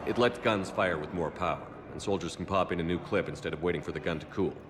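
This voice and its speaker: An actor playing a steady human soldier commander in a video game cutscene, speaking in a calm, dry, deadpan way. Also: male voice, low voice, fast, background noise, natural voice.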